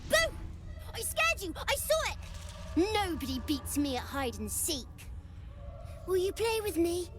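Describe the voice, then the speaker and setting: A woman's voice: very high-pitched, big pitch swings, a little slow, background noise, full character voice. A woman voicing a bratty, demanding little boy in a video game, with a petulant, eerie childlike manner. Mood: gleeful, teasing. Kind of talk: video game character acting.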